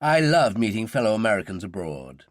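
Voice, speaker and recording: A man's voice: on the low side, very animated, a little fast, no room sound. A man voicing a suave, sly upper-class American man with a dry, polite manner. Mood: charming.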